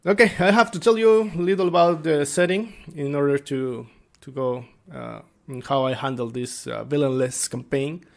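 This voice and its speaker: A man giving an informal explainer as a game master, speaking haltingly and searching for his words. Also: on the high side, vocal fry. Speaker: tabletop game master and video creator. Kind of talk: informal explainer talk to viewers.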